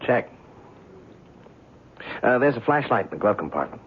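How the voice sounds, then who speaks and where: A man's voice: mid-range voice, big pitch swings, normal speed, slight background noise, lightly acted. An actor playing a blunt, skeptical man who recounts a strange night, in an old-time radio drama style. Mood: uneasy banter. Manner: blunt, incredulous, wisecracking. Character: skeptical, brash, down-to-earth male visitor recounting a strange night. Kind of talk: old-time radio drama acting with first-person narration. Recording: tinny band-limited radio recording, music.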